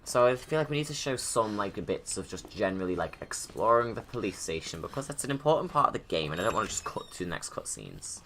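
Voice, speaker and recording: A man's voice: fairly low pitch, sing-song, quick pace, hardly any pauses, fairly bright tone, a little hiss or hum. A man giving casual, informal livestream commentary, rambling and thinking aloud as he goes. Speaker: video creator giving commentary.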